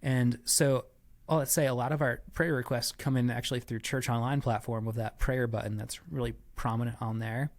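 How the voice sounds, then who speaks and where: A man's voice: medium pitch, steady tone, a little fast. A man giving conversational interview answers as a podcast guest, explaining things with frequent asides and qualifications. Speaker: church staff member, podcast guest.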